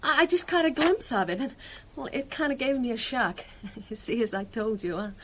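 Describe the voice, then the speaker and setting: An actress playing a brassy, defiant criminal's wife in a radio drama, with an American accent and a sardonic, slangy, emotional manner. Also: female voice, a little high, expressive, a little fast, fairly bright tone, slight background noise. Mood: shaken.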